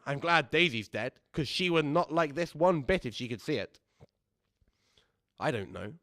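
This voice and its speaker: A man's voice: medium pitch, fairly bright tone, no room sound, clean studio sound, lightly acted. A man playing a callous, aggrieved old widower who rambles pedantically and justifies himself in a confiding radio drama monologue. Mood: resigned, bitter.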